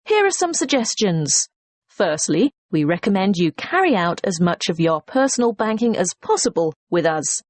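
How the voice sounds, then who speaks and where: A woman playing a helpful investment society employee, reading a scripted customer information message in a clearly articulated, persuasive way. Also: mid-range voice, big pitch swings, normal speed, no room sound. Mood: upbeat, reassuring. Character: helpful female investment society employee promoting savings services.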